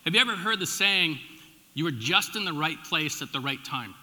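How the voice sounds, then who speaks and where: A middle-aged American man preaching as a pastor, telling personal stories in an informal, animated, conversational way with emphatic swings and dropped asides. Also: fairly high pitch, moderate pace. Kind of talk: conversational sermon with personal storytelling. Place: large church room, addressing a congregation. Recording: echoey hall with long reverb.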